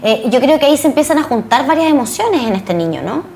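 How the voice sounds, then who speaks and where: A woman's voice: high voice, big pitch swings, fast, vocal fry, darkish tone, slight background noise. A Chilean woman hosting a conversational studio interview, speaking earnestly and reflectively and posing rhetorical questions. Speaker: TV interview host.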